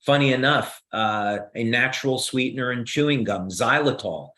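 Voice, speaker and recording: A man with a New Jersey accent explaining science on a video call, measured and steady, with frequent "uh" fillers. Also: mid-range voice.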